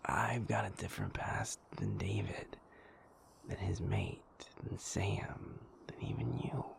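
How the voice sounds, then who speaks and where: A man playing a gentle, patient werewolf in an intimate audio roleplay, soothing and reassuring in a halting, breathy, creaky whisper. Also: lowish voice, huge pitch swings, exaggerated voice. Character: gentle, patient male werewolf comforting a sleepless partner.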